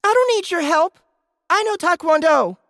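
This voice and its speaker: A woman's voice: very high voice, animated, moderate pace, a bit bright, dry recording, studio quality, full character voice. A woman voicing a small, stubborn, defiant child in an audiobook, with a blunt childlike delivery. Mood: indignant, defiant. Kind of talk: audiobook character dialogue.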